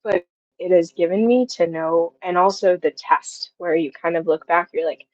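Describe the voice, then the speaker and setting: A woman's voice: on the low side, moderate pace, darkish tone, no echo, highs cut off. A woman in her twenties talking reflectively and casually as an interview guest, feeling her way through her thoughts. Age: 20s. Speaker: guest, audio drama writer.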